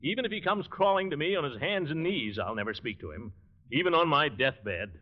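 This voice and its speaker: A pompous middle-aged uncle blusters and grumbles in old radio sitcom style. His fairly high voice swoops up and down theatrically, heard through a dull vintage broadcast recording. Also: animated, fairly quick. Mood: solemnly indignant. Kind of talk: 1940s radio sitcom acting. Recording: dull band-limited 1940s radio recording.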